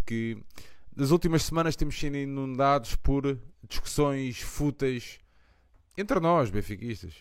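A man in his thirties with a European Portuguese accent hosts a late-night live fan stream. His voice is smooth and resonant, recorded dry and close. He talks with passion, rambles, and leans on fillers. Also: medium pitch, no room sound. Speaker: podcast host of a fan channel. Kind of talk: casual live chat.

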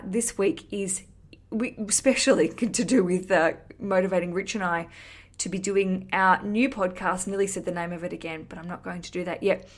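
Woman podcast host just chatting away, super gushy, bit sweary, kinda rambles. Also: medium pitch. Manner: effusive, cheeky, sweary, rambling. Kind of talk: casual, chatty podcast monologue to listeners.

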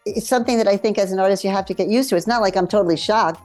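A woman's voice: mid-range voice, rapid-fire, a little mellow, soft background music. A woman artist speaking casually as an interview guest.

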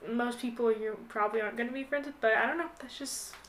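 Higher-voiced American teenage woman, casual and hesitant. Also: fast, fairly bright tone, slight background noise. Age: late teens. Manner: hesitant, rambling, full of "like". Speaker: podcast guest. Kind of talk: casual chat.

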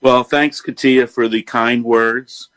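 A man co-hosting a live radio show, speaking reflectively in short, halting phrases without a script. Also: medium pitch, steady tone, a bit bright, no room sound. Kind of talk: unscripted live radio talk.

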